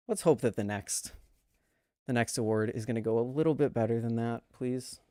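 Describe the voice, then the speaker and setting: A man's voice: medium pitch, measured pace, mellow, no room sound, studio quality. A man hosting an awards stream for a live chat, presenting in a theatrical, jokey way with mock exasperation. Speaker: awards show host.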